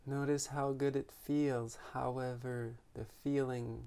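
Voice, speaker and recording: A man guides a meditation in a gravelly, creaky voice. His delivery is very slow and gentle, with long pauses between phrases. The pitch stays flat and even throughout. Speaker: meditation teacher.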